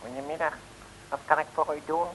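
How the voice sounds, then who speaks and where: An actor playing an amiable, hard-of-hearing Dutch fishmonger in a comic radio serial, with oblivious, folksy sales patter. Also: male voice, a little high, flat delivery, a little fast, fairly bright tone, slightly rough, rough recording. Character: hard-of-hearing, amiable male shopkeeper selling fish. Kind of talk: 1950s-60s comic radio drama acting. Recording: hissy old radio recording.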